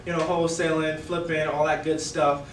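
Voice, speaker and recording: A young man with a Bahamian accent giving a relaxed, conversational talk to a live audience, full of "you know" and "right?". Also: fairly high pitch, normal speed, slight background noise. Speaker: guest speaker. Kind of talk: casual presentation to a live audience. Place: event room before an audience. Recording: roomy, distant room mic.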